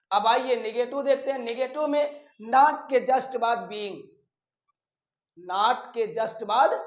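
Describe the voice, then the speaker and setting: A man teaching a grammar lesson step by step, deliberately dictating and stretching out repeated examples. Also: extremely high pitch, fairly bright tone. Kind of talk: classroom lesson, step-by-step explanation. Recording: echoey room.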